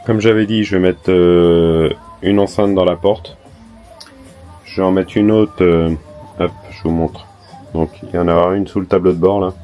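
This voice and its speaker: A hoarse man, a little sick, chatting casually as he explains his work on a converted bus, with lots of hesitant filler sounds. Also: sits low, dark tone. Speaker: vanlife vlogger. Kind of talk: casual DIY vlog talk.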